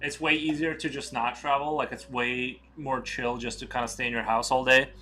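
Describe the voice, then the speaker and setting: A man streaming while he draws, chatting casually in a rambling, relaxed way with lots of fillers. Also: medium pitch, normal speed, a little hiss or hum. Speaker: streamer and artist. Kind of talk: casual live-stream chat while drawing.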